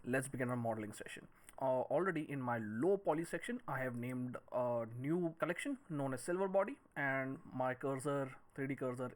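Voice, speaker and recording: A man leads a hands-on 3D modelling tutorial, talking through each step as he works. His pitch is medium and moves up and down a lot, and he speaks in short, broken-up phrases. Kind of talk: step-by-step screen-recorded software tutorial, thinking aloud.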